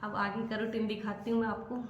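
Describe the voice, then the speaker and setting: A woman's voice: medium pitch, flat delivery, a little fast, echoey room, noisy background. A woman vlogger speaking earnestly to camera, encouraging viewers to stay healthy. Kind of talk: casual talk to camera.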